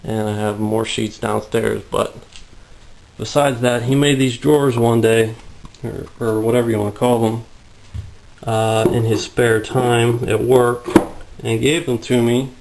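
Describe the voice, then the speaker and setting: A man shows off his tools and builds in an unhurried, rambling way, his voice gravelly and worn raspy and a little out of breath. He speaks in a low, flat drawl with little rise and fall. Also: slight background noise, low-quality recording. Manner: relaxed, rambling explanations with many fillers. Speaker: prop maker giving a workshop tour. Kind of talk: casual workshop tour. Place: hot, humid home workshop.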